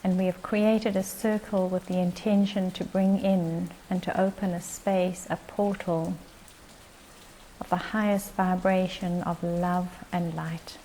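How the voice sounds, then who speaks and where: A woman leading a guided meditation as its guide, speaking in gentle, short phrases with long pauses. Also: fairly low pitch, flat delivery, a little hiss or hum, poor audio.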